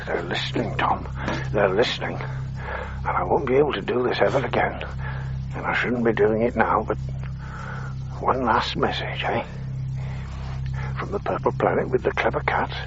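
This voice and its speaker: An actor playing a quirky, loving middle-aged alien father in a radio comedy drama, tender and breathy. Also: fairly low pitch, heavily acted. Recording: muffled, humming noise behind.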